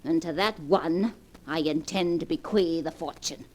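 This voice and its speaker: A woman's voice: deep voice, a little slow, a little mellow. A woman playing a rich old grandmother in a 1940s radio drama, dry, withering and imperious, on an old recording. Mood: amused, commanding.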